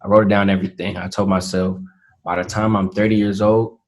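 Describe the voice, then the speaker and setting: A man's voice: deep voice, little pitch movement. Earnest eighteen-year-old man. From Atlanta, Georgia, USA. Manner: earnest, measured, frequent fillers. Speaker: interview guest, young entrepreneur. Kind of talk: casual interview talk.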